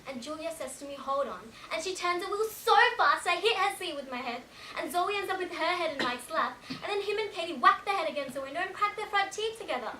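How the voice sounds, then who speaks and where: In an echoey room, away from the mic, a young woman acts out a shaken teenage girl telling her story. Her voice is high and bright. She speaks in colloquial run-on sentences, as in a stage monologue. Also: normal speed, long pauses. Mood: frantic, exasperated. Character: shaken teenage girl recounting a car crash. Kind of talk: dramatic stage monologue, first-person storytelling. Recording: echoey room, distant mic, faint noise.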